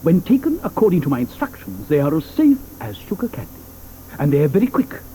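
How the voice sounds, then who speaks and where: A whimsical, eccentric chocolate inventor. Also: male voice, a little high, huge pitch swings, fast talker, slight background noise, low-quality recording. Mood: reassuring. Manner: hesitant, with frequent "uh"s, then storytelling with wonder. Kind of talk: 1980s audio drama acting. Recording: studio audio drama with soft music underneath.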